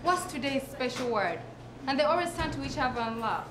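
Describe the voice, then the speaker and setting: A woman's voice: sits high, expressive, a little fast. A woman performing a comic monologue in a theatrical, self-important, emphatic way. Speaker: performer reciting a literary piece. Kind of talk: dramatic recitation of a comic monologue. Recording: echoey room with clear background noise.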